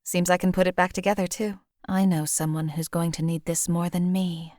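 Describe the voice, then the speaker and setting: A woman's voice: on the low side, little pitch movement, moderate pace, darkish tone, no room sound, close to an everyday voice. A woman playing a composed female assassin for hire in a video game, speaking in a dry, matter-of-fact way. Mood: dry, understated. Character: cool, lethal female assassin companion for hire. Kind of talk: video game companion voice lines.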